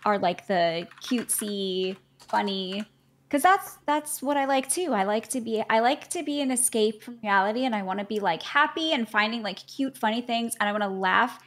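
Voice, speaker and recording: A woman's voice: a little high, a bit bright. A young adult woman in casual chat as a guest, rambling and filling her talk with "like". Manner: chatty and rambling, heavy use of "like", quick to laugh.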